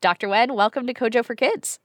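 A woman filling in as radio host for a kids' show, speaking in a clear, friendly, measured way. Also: a little high, dry recording. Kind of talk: scripted radio hosting for kids. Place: radio studio. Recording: dry studio sound.

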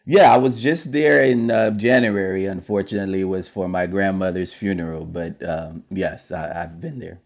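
Deep-voiced Haitian-born teacher, halting. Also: male voice, steady tone. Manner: hesitant, many fillers. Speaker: history and government teacher. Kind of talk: radio interview guest.

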